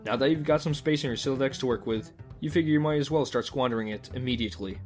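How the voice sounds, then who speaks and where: Guy narrating a story, dry and mock-formal, middle pitch, faint music under him. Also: fast, darkish tone. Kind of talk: scripted second-person story narration.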